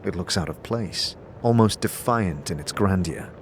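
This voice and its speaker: Low-voiced man narrating dark fantasy. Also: slight background noise. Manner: deliberate, theatrical storytelling. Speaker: narrator and game master. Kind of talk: dramatic scripted second-person fantasy narration. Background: music bed.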